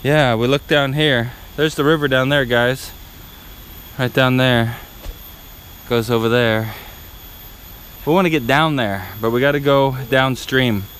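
A man's voice: medium pitch, a little slow, pauses often, a bit bright, a little hiss or hum. Chatty man vlogging on a jungle hike. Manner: chatty, narrating to viewers while hiking. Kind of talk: casual handheld vlog. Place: outdoors in a tropical jungle by a river. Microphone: handheld action camera.

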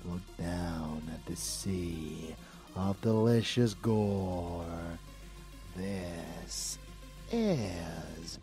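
A man playing a murderous, possessive male vampire lover in an ASMR audio drama, theatrical and drawn-out, both adoring and menacing. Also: sits low, mellow, soft background music. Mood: adoring, intimate.

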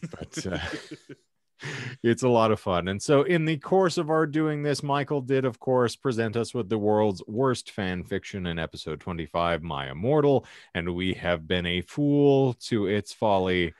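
A man's voice: lowish voice, expressive, measured pace, dry recording. A man hosting a podcast with wry banter, speaking in a wordy, florid, mock-formal and joking way into a close microphone. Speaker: podcast host. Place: home room lined with shelves, video call. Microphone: close desk microphone.

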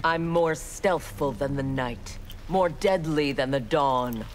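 Haughty armored cyborg ninja. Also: female voice, sits low, takes it slowly, slight background noise. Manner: haughty, menacing. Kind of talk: video game battle banter.

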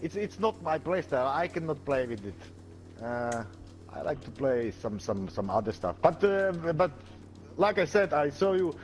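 A man's voice: on the high side, expressive, soft background music. Hesitant man from Slovakia. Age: middle-aged. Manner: hesitant, halting non-native English. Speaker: game player. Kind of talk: casual voice chat.

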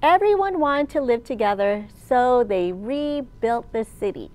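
A woman in her thirties, a children's librarian leading storytime with an animated, sing-song delivery for young children. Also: on the high side, a little slow. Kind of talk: children's storytime read-aloud and teaching. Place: large open library room, indoors.

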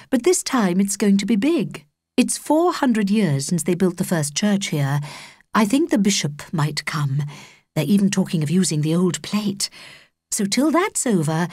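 A woman's voice: medium pitch, darkish tone, dry recording, clean studio sound. A woman with a British accent voicing a nervous, strict middle-aged vicar father in a storybook.

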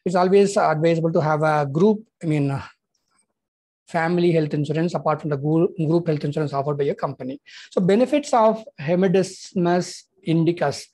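A man in his thirties with a Tamil accent and a slightly husky, breathy voice talks casually to camera in English, his native language being Tamil. He fills pauses with "uh" and "you know" as he explains things in a halting, friendly vlog manner, recorded on a lapel mic. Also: fairly high pitch, warm tone. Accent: Indian English, Tamil. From Tamil Nadu, India. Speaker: health insurance consultant.

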